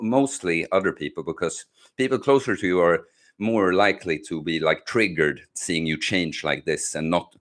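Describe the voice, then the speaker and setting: A young man with a fairly low voice hosts a casual livestream and reads out questions from his chat. He speaks English as a second language, with Swedish as his first, and answers loosely, with frequent fillers, false starts and repeated words. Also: a bit bright. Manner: halting, many fillers and restarts.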